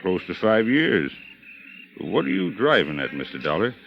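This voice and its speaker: Low-voiced, folksy American carny. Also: male voice, sing-song, moderate pace, gravelly, faint music behind. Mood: guarded. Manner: hesitant, colloquial, nosy. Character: folksy, gossipy male pier carnival concessionaire. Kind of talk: 1950s radio drama acting. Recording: dull, noisy vintage radio recording.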